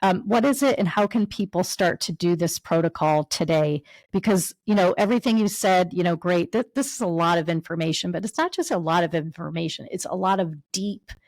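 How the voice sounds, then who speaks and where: Lower-voiced woman host, dry sound. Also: brisk, hardly any pauses, darkish tone, no echo. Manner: conversational, many fillers and restarts. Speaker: podcast co-host. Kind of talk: casual interview chat.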